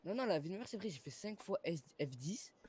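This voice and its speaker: A man chatting casually in game voice chat, repeating himself in a hurried, defensive way. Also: high-pitched, animated, darkish tone, husky. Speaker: player acting as a police officer. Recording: noisy game voice chat mic.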